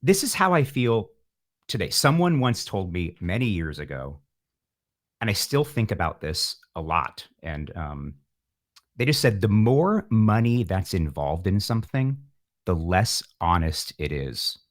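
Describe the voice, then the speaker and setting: A man speaking casually as an interview guest, with an animated, emphatic and playful way of talking. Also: sits low, a little slow. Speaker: podcast guest, musician.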